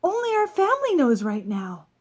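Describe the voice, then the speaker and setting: A woman voicing an excitable, easily flustered married man in a children's storybook. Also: very high-pitched, normal speed, full character voice. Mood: secretive. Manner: exclaiming, easily alarmed.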